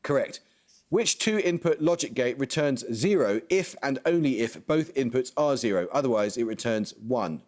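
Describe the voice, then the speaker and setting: A man hosting a television quiz, reading out questions and rulings in a brisk, formal, precise manner. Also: medium pitch, animated, a little mellow. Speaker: quizmaster.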